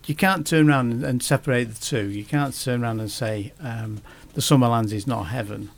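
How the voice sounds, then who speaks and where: Male podcast guest, gravelly voice, hesitant delivery with frequent restarts. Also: medium pitch. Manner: halting, many restarts and fillers. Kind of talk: casual podcast conversation.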